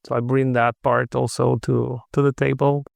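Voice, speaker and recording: A middle-aged man from Russia with a Russian accent, speaking as a podcast guest in a measured, thoughtful way. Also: medium pitch, flat delivery, creaky, darkish tone, dry recording. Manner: measured, thoughtful, with frequent restarts. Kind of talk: casual interview conversation.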